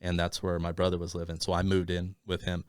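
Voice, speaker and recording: A man's voice: deep voice, monotone, fast, clean tone, dry recording, studio quality. A man from Thailand, raised in Arizona, telling stories as a podcast guest in a casual, rambling way with many fillers and restarts. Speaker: podcast guest, business owner. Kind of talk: casual conversational storytelling.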